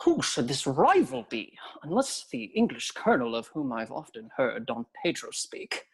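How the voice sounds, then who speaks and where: Woman playing a husky, swooping, lovestruck young nobleman. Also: very deep voice, big pitch swings, moderate pace, heavily acted, rough recording. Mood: resolute, brooding. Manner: grand, declamatory, archaic speech. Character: ardent, hot-tempered young Spanish nobleman in love. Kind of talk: period stage comedy audio drama.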